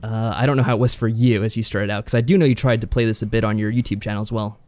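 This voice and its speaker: A man co-hosting a podcast, explaining and reviewing games in a measured, conversational way. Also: fairly low pitch, fairly even intonation, darkish tone, no echo. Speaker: podcast co-host. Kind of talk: conversational visual novel review.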